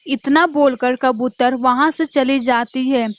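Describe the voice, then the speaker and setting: Woman, scripted cartoon story narration, high-pitched, unhurried, dry recording. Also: fairly even intonation, dry recording.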